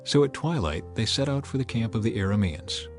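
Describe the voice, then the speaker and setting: A man narrating a dramatized scripture reading in a measured, earnest way. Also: medium pitch, lively intonation. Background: faint background music.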